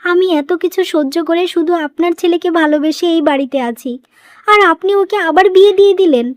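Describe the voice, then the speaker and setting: A wronged young cartoon wife, acted by a woman, loving and long-suffering. Her voice is very high, earnest and pleading, captured close and dry. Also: fairly quick, no echo. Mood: hurt, protesting. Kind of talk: moral-story cartoon dubbing.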